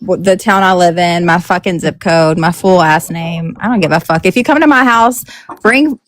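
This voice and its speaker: A woman's voice: on the low side, moderate pace. A mother on a live panel chat, blunt and swearing casually.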